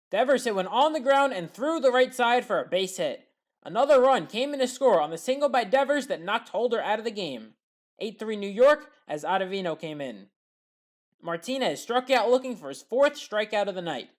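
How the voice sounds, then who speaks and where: A woman with a mid-pitched voice narrates sports highlights from a script. She recaps plays in short, matter-of-fact phrases, as a commentator who hosts her own breakdowns.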